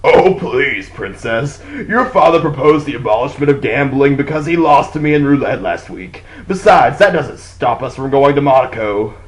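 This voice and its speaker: A man's voice: mid-range voice, lively intonation, normal speed, few pauses, lightly acted. A man playing a smug, reckless Russian novelist with a gambling habit in a comic puppet show.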